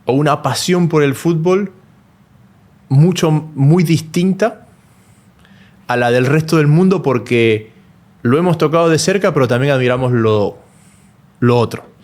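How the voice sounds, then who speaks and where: A man's voice: fairly high pitch, a little slow, pauses often, a little mellow. A man from Ecuador co-hosting a casual football talk show, thinking aloud with frequent restarts. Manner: thoughtful, hesitant restarts. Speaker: co-host.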